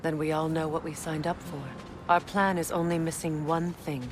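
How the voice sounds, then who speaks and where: A woman voicing a resolute, commanding warrior queen in a video game, speaking in a firm, measured way. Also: sits low, little pitch movement, natural voice. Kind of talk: cinematic video game acting. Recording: loud ambient noise behind.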